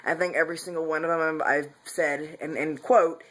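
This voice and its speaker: A man's voice: on the high side, fairly even intonation, a little fast, a bit bright, low-quality recording. A man talking candidly in a casual personal vlog, rambling with frequent restarts and self-corrections. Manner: candid, confessional. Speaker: vlogger.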